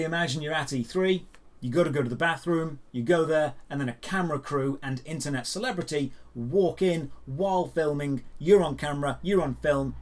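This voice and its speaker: A man hosting a daily news show, speaking in scripted commentary with an emphatic, direct presenter delivery. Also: on the high side.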